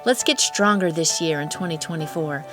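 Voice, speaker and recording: A woman's voice: low voice, over music. Woman reading a daily devotional. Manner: warm, chatty asides, slows for scripture. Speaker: devotional host.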